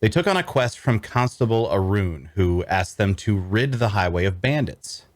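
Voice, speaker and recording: A man's voice: lowish voice, lively intonation, normal speed, no room sound, close to an everyday voice. An actor playing a sardonic necromancer antihero, narrating his own quest conversationally with dry humor and rhetorical asides. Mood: wry, conspiratorial. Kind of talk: first-person dramatic narration at a script table read.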